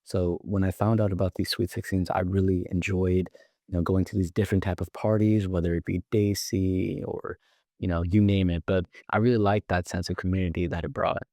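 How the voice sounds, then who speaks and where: A teenage boy with a very low voice co-hosts a school podcast in a loose, conversational way. He talks casually and leans on easy fillers. The recording is close and dry. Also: fairly quick, gravelly, dry recording. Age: teens. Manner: relaxed, with frequent fillers. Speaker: student podcast co-host.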